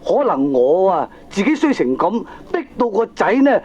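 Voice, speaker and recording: Rueful hong kong gambler dad, swoopy high voice, exclaiming, old radio play. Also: male voice, moderate pace. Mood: rueful, self-mocking. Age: middle-aged. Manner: animated, exclamatory. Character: middle-aged good-for-nothing gambler father, rueful and candid. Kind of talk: Cantonese radio drama acting. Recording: dull old cassette radio drama recording.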